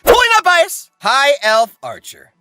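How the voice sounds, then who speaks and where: Animated, bright-voiced woman doing comic commentary. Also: high-pitched, normal speed, no echo, soft background music. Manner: sarcastic, slangy, exaggerated for comic effect. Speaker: anime video host.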